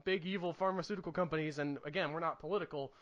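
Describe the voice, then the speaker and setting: A man's voice: on the high side, fairly even intonation, racing, fairly bright tone, dull audio. A man co-hosting a podcast, giving his opinions in an informal, casual chat. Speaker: podcast co-host.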